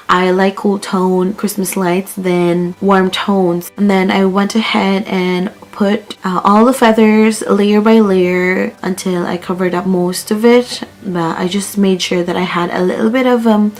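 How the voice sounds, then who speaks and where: A woman's voice: mid-range voice, rough recording. A young woman from the Philippines chatting casually in a home vlog, her voice worn as she is losing it, full of "like" and "um". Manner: chatty, with fillers like "like" and "um". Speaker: vlogger. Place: living room at home.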